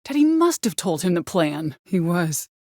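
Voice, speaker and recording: Menacing, vengeful mother, low voice. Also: sing-song, a little mellow, no echo, studio quality. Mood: bitter, accusing. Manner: commanding, deliberate, threatening. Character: vengeful bitter mother, menacing and coldly controlled villain. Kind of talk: dramatic video game voice acting.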